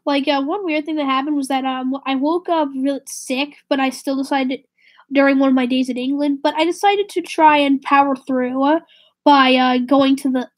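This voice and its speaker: A woman live streaming and chatting casually with viewers, slightly raspy with a stuffy nose from a cold, rambling and correcting herself. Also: high voice. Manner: rambling, self-correcting, drifts to a whisper.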